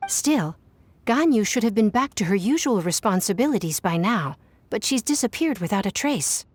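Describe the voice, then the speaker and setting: A woman's voice: mid-range voice, very animated, moderate pace, darkish tone, slight background noise, close to an everyday voice. A woman voicing a proper, overworked private secretary in a video game, speaking in a formal, explanatory way. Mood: composed.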